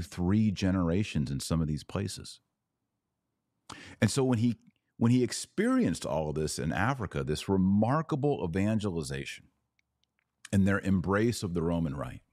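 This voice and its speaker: A man's voice: sits low, darkish tone, no room sound, studio quality. A man hosting a podcast, giving opinionated commentary with emphatic, repeated phrases. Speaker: podcast host.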